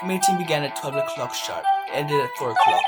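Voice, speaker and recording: A man's voice: fairly high pitch, animated, brisk, natural voice. A man voicing a cool, condescending, petite female studio boss in a fan-dubbed courtroom game, speaking in a languid, clipped way. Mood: flat testimony. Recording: loud music behind the voice.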